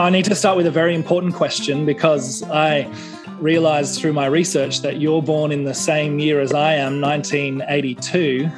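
Higher-pitched Australian man hosting. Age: 30s. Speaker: podcast host, interviewer. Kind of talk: casual interview chat.

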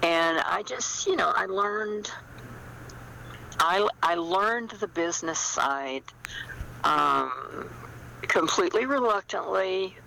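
An older woman chatting as an interview guest, reflective and anecdotal, with hesitant pauses. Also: fairly low pitch, gravelly, sharp tone, slight background noise, rough recording. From small-town United States. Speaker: guest, novelist. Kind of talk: casual interview chat.

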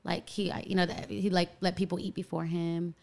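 An actress guest on a podcast who chats casually in a sweet, childlike voice, with plenty of fillers and giggles. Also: female voice, lowish voice, flat delivery, normal speed, creaky, a little mellow. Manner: giggly, chatty, lots of fillers.

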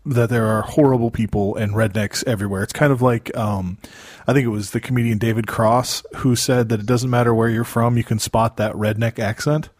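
A man's voice: medium pitch, steady tone, fairly quick, a little mellow, no echo, studio quality. A man from Mississippi chatting casually as a podcast guest, hesitant, rambling and self-deprecating. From Mississippi, United States. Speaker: podcast host appearing as guest. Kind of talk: casual chat.

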